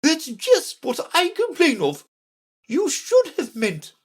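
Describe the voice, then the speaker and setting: Shrill, imperious scolding storybook queen. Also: sing-song, slow, full character voice. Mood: indignant, severe. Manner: sharp, impatient, emphatic. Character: Red Queen, sharp bossy imperious scolding royal chess piece. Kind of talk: children's storybook character voice.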